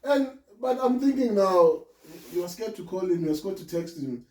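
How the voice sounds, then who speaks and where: A man hosts a laid-back podcast interview, backing up his guest and asking questions warmly. His voice sits high and is dark in tone. The sound is echoey and distant. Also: animated, normal speed. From South Africa. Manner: encouraging, with frequent back-channel "mm". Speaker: podcast host. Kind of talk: casual interview conversation.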